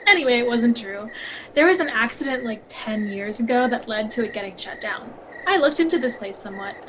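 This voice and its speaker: A woman plays a skeptical, well-informed young woman in a horror audio drama. Her voice is fairly high and matter-of-fact, with crickets and faint noise behind. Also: animated, a little fast, lightly acted. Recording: faint noise with night insects. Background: crickets and frogs.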